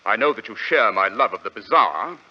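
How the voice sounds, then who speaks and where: A man playing a confident, brisk British gentleman detective in 1940s radio drama, commanding, precise and teasing. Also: fairly low pitch, expressive. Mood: brisk welcome. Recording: dull, lo-fi old radio recording.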